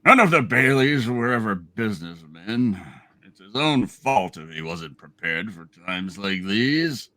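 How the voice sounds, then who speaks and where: Earnest townsman at prayer, played by a man, bright forceful voice, slow dramatic swings, radio play. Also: on the low side, big pitch swings, heavily acted. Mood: reproachful, pleading. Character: earnest townsman praying for a troubled friend. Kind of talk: hammed-up radio play reading.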